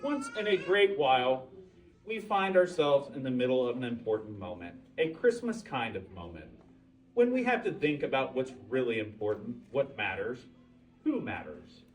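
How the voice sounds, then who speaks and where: A man reading a story aloud to children in an animated storytime style, pausing to put questions to his listeners. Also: medium pitch, recorded from a distance, rough recording. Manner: pauses to ask the listeners questions. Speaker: Santa reading a picture book at a library story time. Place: indoors, library holiday open house.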